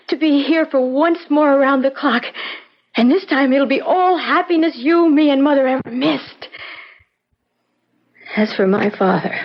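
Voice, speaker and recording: An earnest young granddaughter in a radio play, tender and resolute. Her voice is high and she speaks slowly. The old recording is muffled. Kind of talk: old-time radio drama acting.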